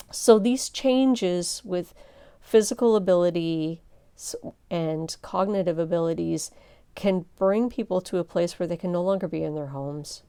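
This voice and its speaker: A middle-aged woman pastor teaching a seminar in a soft, low voice, gentle and earnest, with measured pauses. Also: gravelly, clear, resonant tone.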